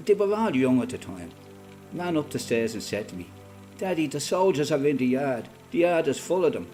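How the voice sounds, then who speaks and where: A male actor plays an older Irish father from Dublin in a radio drama. He is a plain-spoken veteran who talks like a folksy storyteller, with a medium-pitched, expressive voice that rises and dips freely. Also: lightly acted. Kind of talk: radio play acting, conversation and reminiscence.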